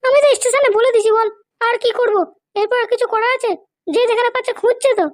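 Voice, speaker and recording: Squeaky super high cartoon madam someone acting, power hungry schemer, bossy n threatening, talks fast. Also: steady tone. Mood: dismissive, bossy. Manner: bossy, threatening, self-justifying. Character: scheming, power-hungry madam, ruthless mother seeking political power. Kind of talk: comedy cartoon dialogue.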